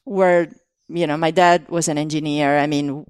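A woman with an Argentine Spanish accent speaks in a low voice, recalling things in an unhurried interview chat. She hesitates and restarts her sentences often, with many fillers. The recording is dry and close. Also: steady tone, a little mellow, clear, resonant tone, no room sound. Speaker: artist guest. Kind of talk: casual interview conversation.

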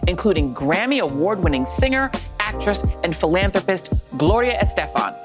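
A woman announcer reading scripted promotional narration. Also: medium pitch. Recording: music playing behind the voice. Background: music.

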